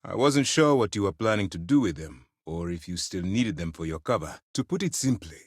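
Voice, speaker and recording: A stern space navy commander for a video game, with a low voice that moves expressively through a wide range. He speaks formally and with firm authority, and the recording is close and dry. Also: animated, fairly quick, no room sound. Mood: measured, businesslike. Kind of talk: acted game dialogue.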